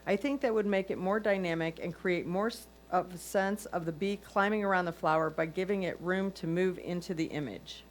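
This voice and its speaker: A woman's voice: on the low side, fairly quick, few pauses, a little hiss or hum. A woman serving as a competition reader, reading written photo critiques aloud. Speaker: reader of judges' comments.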